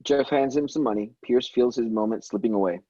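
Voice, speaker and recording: A man's voice: mid-range voice, brisk, warm tone, highs cut off. A man reading screenplay action lines as narration at a table read. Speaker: stage-direction reader at a table read.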